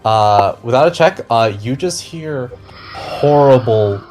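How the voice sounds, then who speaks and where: A man narrating a fantasy tabletop game as game master, describing scenes deliberately and with suspense. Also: medium pitch, animated. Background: background music.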